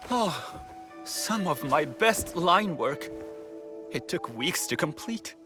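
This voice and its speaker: Game role: proud, anguished painter, high-pitched, slow, dramatic lament. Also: male voice, big pitch swings, music in the background. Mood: anxious pleading. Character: proud, anguished master painter of feudal Japan.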